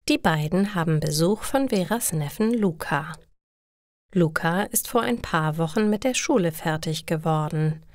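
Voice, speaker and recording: Slow, low-pitched woman narrator. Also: dry recording, studio quality. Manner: deliberate, simple short sentences. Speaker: narrator of stories for German learners. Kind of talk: slow, scripted story narration for language learners.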